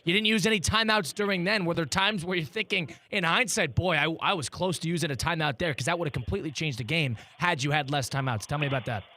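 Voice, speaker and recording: A man's voice: a little high, quick pace, hardly any pauses, sharp tone. A man working as a sports radio interviewer, conversational and eager, asking long, wordy questions.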